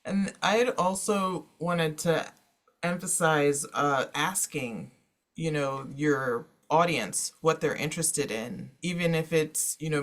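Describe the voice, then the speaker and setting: A man's voice: on the high side, a bit bright. A man speaking informally on a panel as a librarian and teacher, in a hesitant, meandering way peppered with "you know".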